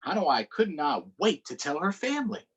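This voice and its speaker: A man reading a picture book aloud to children in an unhurried way, pausing for short explanatory asides. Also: on the high side, sing-song, husky, dull audio. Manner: unhurried, adds explanatory asides to young listeners. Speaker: storytime reader.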